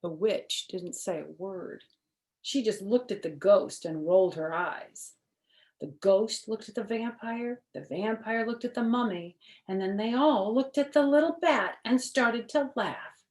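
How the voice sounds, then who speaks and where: A woman storyteller reading a picture book aloud for children in an expressive, deliberate, teacherly way. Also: medium pitch. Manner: expressive, deliberate, simple phrasing. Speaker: storyteller, first-grade teacher.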